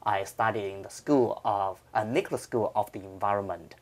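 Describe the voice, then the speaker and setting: A man in his thirties from China with a Chinese accent, explaining informally in measured, halting phrases as an interviewed professor. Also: fairly low pitch.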